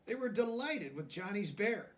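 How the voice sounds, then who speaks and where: A man reading a children's picture book aloud, deliberately, with simple explanatory asides in plain words. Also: high-pitched, very animated, recorded from a distance. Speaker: storybook reader.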